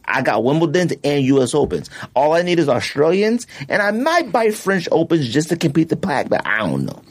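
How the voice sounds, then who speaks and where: A man co-hosting a casual podcast, animated and joking, often repeating a phrase for effect. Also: a little high, moderate pace. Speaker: podcast co-host. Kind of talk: casual sneaker podcast chat.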